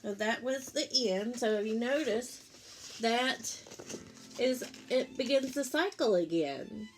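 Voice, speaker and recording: A woman's voice: fairly high pitch, lively intonation, slow, soft background music. A woman, a teacher reading a picture book aloud to young children, with simple explanatory asides and questions for her listeners.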